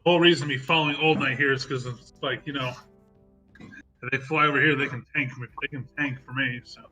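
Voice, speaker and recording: A man's voice: mid-range voice, steady tone, brisk, bright, forceful tone, soft background music, low-quality recording. A man playing a tabletop role-playing game, thinking aloud about tactics and describing his character's attacks. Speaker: player. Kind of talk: casual play over voice chat.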